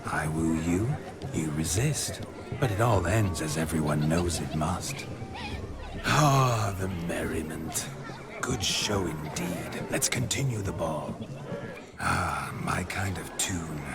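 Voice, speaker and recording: Low-voiced roguish, boastful monster hunter. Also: male voice, animated, slow pace, loud music behind the voice, a loud crowd, heavily acted. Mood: roguish, flirtatious. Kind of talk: video game character acting.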